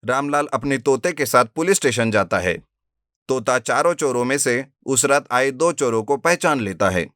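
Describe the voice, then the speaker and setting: A man's voice: mid-range voice, expressive, moderate pace, fairly bright tone, no room sound, studio quality. A man narrating a cartoon story in a plain storytelling manner.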